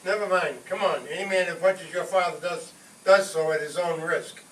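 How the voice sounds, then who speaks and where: A man's voice: high voice, moderate pace, fairly bright tone. A man playing a hot-headed, scheming father in an old-time radio comedy, pompous, indignant and theatrical. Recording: roomy distant stage recording, faint noise.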